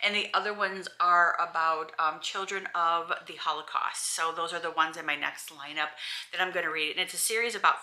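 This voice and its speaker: A woman's voice: fairly low pitch, brisk, pushed and bright. A woman in her forties vlogging casually from her bedroom at home, chatty and rambling with lots of ums and restarts. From Alabama, USA. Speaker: lifestyle vlogger. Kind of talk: casual chat to camera.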